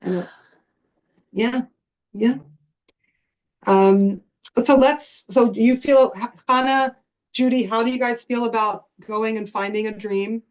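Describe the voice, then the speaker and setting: A woman's voice: fairly high pitch, slow, long pauses, rough recording. A woman hosting and guiding a spiritual writing workshop, encouraging and loosely phrased with frequent restarts. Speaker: host and writing group leader.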